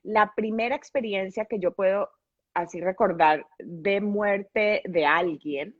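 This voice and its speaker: A woman's voice: medium pitch, takes it slowly, no room sound, dull audio. A woman with a Colombian accent speaking reflectively as an interview guest, explaining her thoughts and checking in with her listener. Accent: Colombian Spanish. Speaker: podcast guest and grief facilitator. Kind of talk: conversational interview answers.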